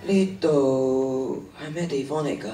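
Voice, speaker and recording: A man's voice: medium pitch, unhurried, natural voice. A teenage boy with a Hong Kong Cantonese accent playing a troubled schoolboy hiker in a radio drama. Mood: earnest. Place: stage of a large hall. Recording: echoey stage, distant mic, faint noise.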